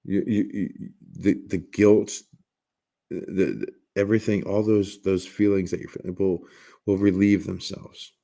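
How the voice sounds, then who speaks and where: Low, gravelly man with a raspy voice. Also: fairly even intonation, measured pace, mellow. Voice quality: raspy smoker's voice. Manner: joking, giggly, rambling with many restarts. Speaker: livestream host. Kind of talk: casual livestream chat with viewers.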